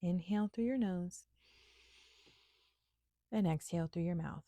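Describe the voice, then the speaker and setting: A woman instructor leading a guided meditation in a gentle, soothing, unhurried manner with pauses. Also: on the low side, dry recording.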